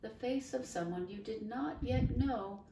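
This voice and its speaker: A woman voicing a warm, dreamy older neighbor who speaks in a lilting, emphatic way, read aloud in a roomy space from a distance. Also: fairly high pitch, a little slow, voice pushed up. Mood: fond. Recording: roomy, distant mic, faint hiss.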